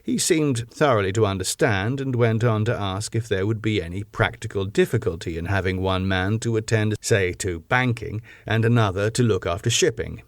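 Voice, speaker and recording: A man reads a gothic novel as a scripted audiobook. His voice sits fairly low, and his delivery is measured and formal. The recording is close and very dry, without room sound. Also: no room sound. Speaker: audiobook narrator.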